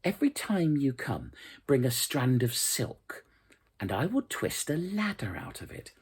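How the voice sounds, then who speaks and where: A storyteller as innocent young maiden, lilting husky voice. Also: medium pitch, wildly swooping pitch, unhurried, darkish tone, pitched above the performer's own voice, exaggerated voice. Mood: willing, hopeful.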